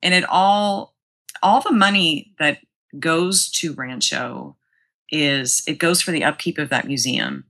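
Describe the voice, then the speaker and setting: A woman with a notably low voice that has a bright, forceful edge. She talks in a casual interview style, as an enthusiastic guest who gushes about her job. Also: very animated, slow. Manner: enthusiastic, effusive. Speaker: guest, museum publicity director.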